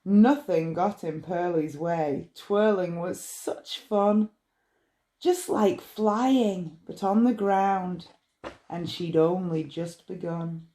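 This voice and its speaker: A woman reading a rhyming bedtime story in a measured, expressive way. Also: lowish voice. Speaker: storybook reader.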